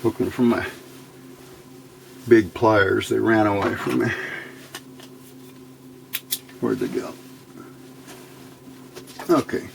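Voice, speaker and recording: Slow-talking gravelly man at a workbench. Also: fairly low pitch, fairly even intonation, noisy background. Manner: muttering to self in short fragments. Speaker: electronics hobbyist demonstrating a build. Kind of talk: casual hands-on tutorial, talking while working. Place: indoor workbench.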